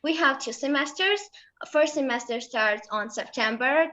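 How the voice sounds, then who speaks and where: A young woman from Georgia, just out of school, presenting her country in English with a Georgian accent, halting and full of fillers and self-corrections. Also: high voice, bright, forceful tone, highs cut off. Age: late teens. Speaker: student presenter.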